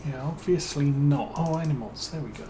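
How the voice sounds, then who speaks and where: A man with a British accent giving relaxed let's-play commentary as a streamer, musing and thinking aloud with plenty of fillers. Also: a little high, normal speed, background noise. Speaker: game streamer.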